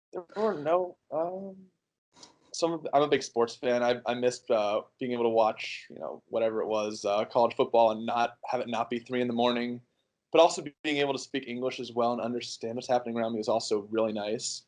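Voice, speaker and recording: A man in his early twenties from the United States, answering interview questions in a relaxed, rambling way with many fillers and restarts. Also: mid-range voice. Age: 20s. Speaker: interview guest.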